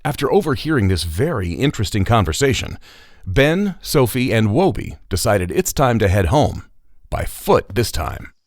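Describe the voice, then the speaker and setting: A man's voice: on the low side, sing-song, normal speed, dry recording, studio quality. A man playing a wry narrator of a children's audio story.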